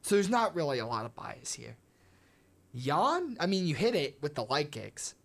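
A woman's voice: low voice, very animated, moderate pace, creaky. A woman from the United States co-hosting a sports podcast, chatty and playfully teasing her co-host. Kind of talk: casual sports podcast chat.

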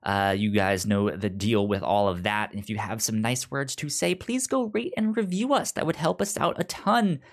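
A man's voice: on the low side, animated, moderate pace, hardly any pauses. A man hosting a podcast in a casual, chatty style, with a stutter that repeats sounds and syllables. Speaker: podcast host.

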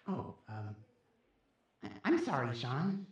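A woman's voice: extremely low pitch, big pitch swings, slow, heavily acted. A woman playing a persuasive, impatient child in an audio drama, coaxing and insistent, with a voice that sounds older than a kid's. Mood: encouraging. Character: persuasive, impatient kid trapped and scheming. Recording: roomy, echoing sound.

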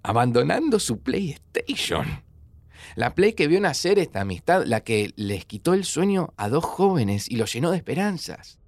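A man's voice: mid-range voice, very animated, normal speed, no room sound. An actor playing a wry, sentimental young man with an Argentine accent, a devoted friend in an audio drama. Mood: mock-solemn, sentimental. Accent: Argentine Rioplatense. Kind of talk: naturalistic audio fiction acting.

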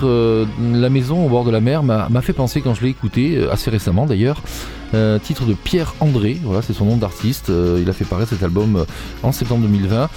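A man's voice: lowish voice, dark tone. A man hosting a radio music show, talking off the cuff in a hesitant, digressive way with frequent reformulations. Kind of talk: unscripted radio presenting between songs. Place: radio studio.

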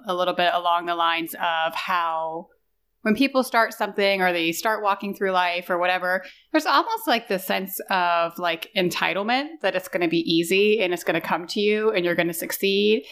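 A woman's voice: fairly low pitch, normal speed, fairly bright tone, clear, resonant tone. A woman co-hosting a podcast, talking in a casual, reflective way. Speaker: podcast co-host.

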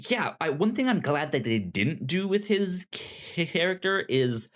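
A man co-hosting a podcast in casual chat, speaking haltingly and repeating words as he goes. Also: fairly high pitch, sing-song. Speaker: podcast co-host reviewing comics.

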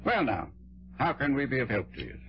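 Male executive, vintage radio drama: genial, formal, animated intonation, dull old recording. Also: medium pitch, a little fast. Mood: polite, puzzled. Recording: muffled vintage radio broadcast, loud music.

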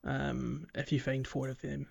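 A man's voice: mid-range voice, little pitch movement, unhurried, creaky, darkish tone, breathy edge, dull audio. A man hosting a podcast, talking casually about video games in a chatty, hesitant, rambling way. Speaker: podcast host. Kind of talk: casual video game discussion.

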